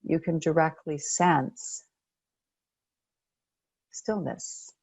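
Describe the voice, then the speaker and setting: Meditation coach, woman, low gravelly fry, gentle and unhurried delivery. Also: dry recording, rough recording. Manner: gentle, unhurried, pausing between phrases. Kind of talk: guided meditation.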